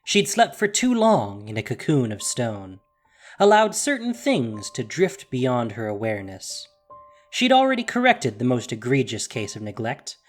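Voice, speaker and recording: Expressive, dramatic fantasy story narrator. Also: mid-range voice.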